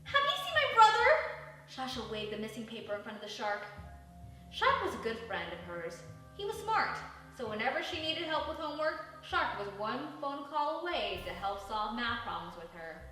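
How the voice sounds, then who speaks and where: A woman narrating a children's storybook in an expressive, animated, dramatic way. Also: fairly high pitch, moderate pace. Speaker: storybook reader. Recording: echoey room, distant mic, faint music.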